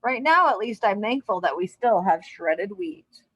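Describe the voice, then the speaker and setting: A woman plays an eager, plotting townsman from a children's storybook. The delivery is bright and persuasive, with animated, swooping pitch in a dry recording. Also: unhurried, slightly rough, dry recording, lo-fi sound. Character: scheming, cheerful townsman, eager to trap a turkey.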